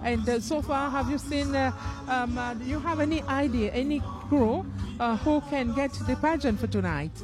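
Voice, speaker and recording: A woman interviewing a guest at a live evening event, asking questions haltingly with many fillers. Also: sits high. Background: loud music playing.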